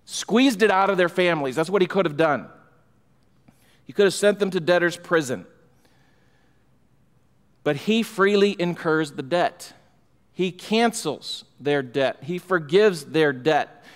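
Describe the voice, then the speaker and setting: Middle-aged pastor preaching in a reverberant sanctuary. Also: male voice, fairly high pitch, expressive, unhurried, pauses often. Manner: emphatic, rising in fervor, gestures while preaching. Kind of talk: expository sermon. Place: church sanctuary, large room indoors. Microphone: pulpit microphone. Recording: long hall reverb.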